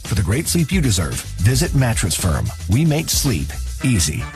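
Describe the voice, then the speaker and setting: A man's voice: mid-range voice, sing-song, normal speed, darkish tone. A man with an American accent reading a scripted commercial as a voice-over announcer. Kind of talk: scripted advertisement read. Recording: clear music bed under voice.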